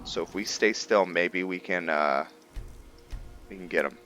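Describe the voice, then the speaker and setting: A man giving casual roleplay game commentary, thinking aloud in a halting way and trailing off mid-sentence. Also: sits low, pushed and bright, faint music behind. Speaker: gaming video host.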